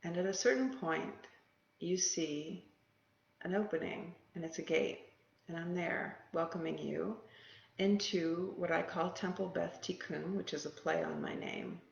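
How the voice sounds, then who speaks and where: A guide leading a meditation in a gentle, unscripted manner with short, paused phrases and a creaky voice. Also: mid-range voice, steady tone. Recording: roomy, echoing, slightly dull sound.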